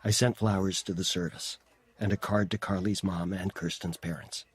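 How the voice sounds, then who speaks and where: A man playing a gentle, coaxing father. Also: sits low, steady tone, normal speed, slightly rough. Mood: tender. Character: gentle middle-aged father, coaxing and tender. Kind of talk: audio drama acting.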